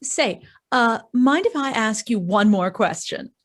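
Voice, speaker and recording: An actress plays a perky interviewer from a vintage radio commercial. Her high voice swoops about, eager and exclaiming. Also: animated, unhurried. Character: perky, wide-eyed advert interviewer. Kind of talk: old-time radio advert pitch. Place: home, live online video performance.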